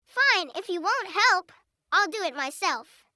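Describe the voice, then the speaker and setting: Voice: very high-pitched, big pitch swings, unhurried, bright, forceful tone, no echo, clean studio sound, full character voice. A performer voicing a bold, mischievous young female squirrel in a children's storybook. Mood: defiant.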